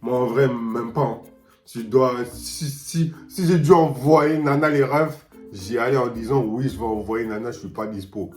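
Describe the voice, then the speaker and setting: A man's voice: medium pitch, moderate pace, a little mellow. A tipsy man in his twenties, telling stories and teasing in laid-back slang. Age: 20s. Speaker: guest. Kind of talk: casual drinking game chat. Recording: echoey room with music behind.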